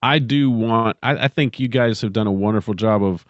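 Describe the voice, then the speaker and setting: A man co-hosting a podcast, chatting casually in a halting, emphatic way. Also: lowish voice, dry recording, muffled recording. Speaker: podcast co-host. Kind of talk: casual chat.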